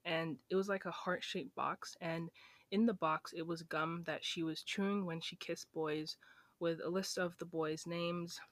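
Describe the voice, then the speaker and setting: A young woman hosting a true crime podcast, talking fast in a flat, monotone way. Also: fairly low pitch, dry recording. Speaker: podcast host.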